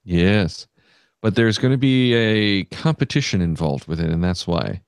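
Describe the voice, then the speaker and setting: A man's voice: low-pitched, expressive, a little slow, vocal fry, smooth, no room sound, clean studio sound. A man hosting a podcast in casual chat, talking loosely with many fillers and restarts. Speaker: podcast host.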